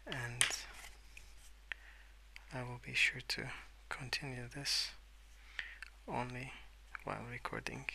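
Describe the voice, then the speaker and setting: A man speaking softly and hesitantly in a rambling ASMR style, often slipping into whispers at a desk indoors. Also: mid-range voice, steady tone, slow pace, vocal fry, long pauses, slight background noise, poor audio. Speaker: host of a colouring ASMR channel. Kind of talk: soft-spoken rambling ASMR. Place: at a wooden desk indoors, with pencil sounds.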